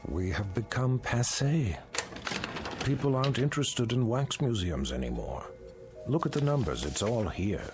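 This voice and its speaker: An actor playing a kindly, weary older museum owner, halting and regretful, in an audio drama. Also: male voice, medium pitch, very animated, music in the background. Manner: halting, apologetic.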